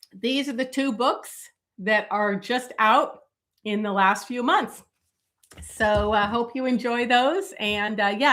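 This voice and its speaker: A middle-aged woman illustrator chatting casually on a live stream, with many fillers and a voice going hoarse. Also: fairly high pitch, fairly bright tone. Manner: many fillers, talks while showing pages. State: losing her voice, coughing.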